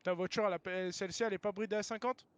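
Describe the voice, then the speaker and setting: A man's voice: high-pitched, fairly even intonation, rapid-fire. A man arguing bluntly and in exasperation in online game voice chat, as the server's founder. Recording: clearly noisy game voice chat.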